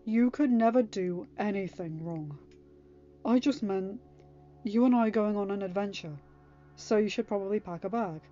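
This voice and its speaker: A woman's voice: mid-range voice, normal speed, mellow, highs cut off, close to an everyday voice. A woman voicing an affectionate, fatherly man in an audiobook story, casual, teasing and reassuring.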